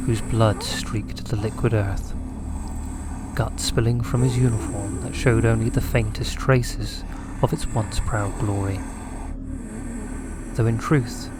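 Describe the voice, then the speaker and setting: A man giving scripted audio drama narration in a deliberate, dramatic storytelling manner. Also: lowish voice. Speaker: narrator and writer. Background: loud music.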